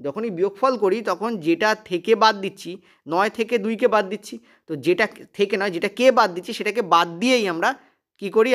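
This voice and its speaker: This is a man with a notably high voice. He talks quickly as he teaches, working through material step by step. He drives points home by repeating them and keeps checking that learners follow. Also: fairly bright tone. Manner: emphatic repetition with frequent check questions. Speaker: maths teacher. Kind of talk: exam-prep lesson, explaining step by step.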